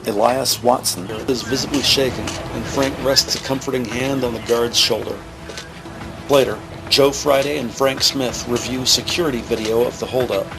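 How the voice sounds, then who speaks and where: A man as a factual crime drama narrator, reading scripted scene descriptions in a measured way. His mid-pitched voice rides over prominent music. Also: steady tone, gravelly, close to an everyday voice, poor audio.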